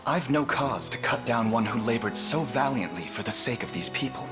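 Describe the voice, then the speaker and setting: A man's voice: medium pitch, expressive, moderate pace, music in the background, lightly acted. A man playing a noble, resolute young prince in a fantasy game, speaking formally and sincerely. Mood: solemn, gracious. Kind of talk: video game fantasy acting.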